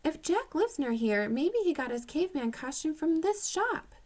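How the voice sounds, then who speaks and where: Woman voicing an eager young storybook girl, higher-pitched. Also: very animated, moderate pace, a little mellow. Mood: eager, curious.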